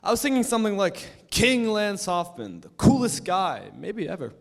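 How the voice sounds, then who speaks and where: A young man playing a cocky, self-centered high school boy in a staged reading. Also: wildly swooping pitch, normal speed, gravelly, full character voice. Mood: smug. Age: young adult. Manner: cocky, mocking. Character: smug, arrogant teen boy class king.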